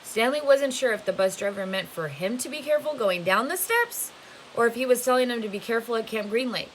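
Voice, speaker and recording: A woman reading a novel aloud as a teacher would to students, with expressive storytelling narration. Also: on the high side, brisk, rough recording. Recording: faint hiss behind the voice. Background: faint steady hiss.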